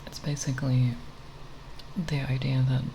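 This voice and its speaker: An ASMR creator speaking softly and breathily, half whispering in a halting, unscripted ramble with long pauses, close to the microphone. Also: medium pitch, flat delivery, a little hiss or hum. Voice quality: soft, breathy, partly whispered.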